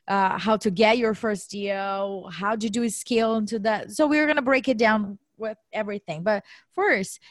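A woman's voice: fairly high pitch, dry recording. A woman co-hosting a podcast interview, laughing often and encouraging her guest. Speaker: podcast co-host. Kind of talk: casual interview.

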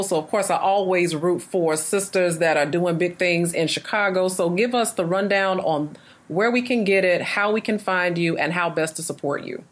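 An American woman hosting a casual interview in an enthusiastic, encouraging and slangy way. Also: on the low side. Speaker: interview host.

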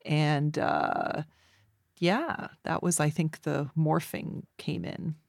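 A woman's voice: low-pitched, very animated, slow pace, vocal fry, darkish tone, no room sound, studio quality. A woman guest chatting casually, telling anecdotes with chuckles along the way. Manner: chuckles while telling stories. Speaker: interview guest and performer.

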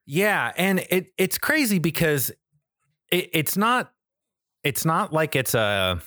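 A man's voice: a little high, very animated, slow, sharp tone, no room sound, clean studio sound. An American man in his thirties chatting casually as a podcast co-host, enthusiastic, rambling and freely profane. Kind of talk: casual chat.

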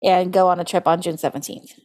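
A woman's voice: lowish voice, fairly even intonation, quick pace, a little mellow. A woman co-hosting a casual podcast, talking in a playful, colloquial, wry way. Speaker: podcast co-host. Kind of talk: casual chat about horoscopes.

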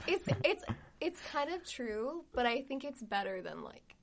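A woman's voice: high voice, very animated, a little slow, creaky. A young adult woman chatting as a podcast guest, explaining her views with plenty of "like". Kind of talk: casual chat. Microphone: podcast mic.

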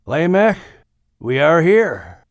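A man voicing a very old, patient great-grandfather who speaks slowly and solemnly in an audiobook story. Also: fairly high pitch, big pitch swings, no echo, clean studio sound, voice pushed up, full character voice. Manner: slow, deliberate, solemn.

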